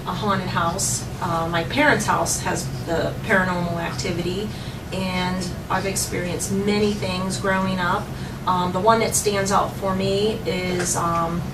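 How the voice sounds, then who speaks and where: A woman of about forty with an American accent, telling things casually to camera in short, halting phrases. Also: fairly low pitch. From Pennsylvania, United States. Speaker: paranormal investigator. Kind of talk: casual spoken testimonial to camera. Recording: echoey room, distant mic, clear noise.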